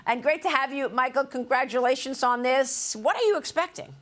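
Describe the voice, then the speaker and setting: A woman's voice: sits high, fairly quick, fairly bright tone. A woman anchoring a TV business news show, reading a polished, upbeat intro and asking questions in a studio. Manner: polished, upbeat broadcast delivery.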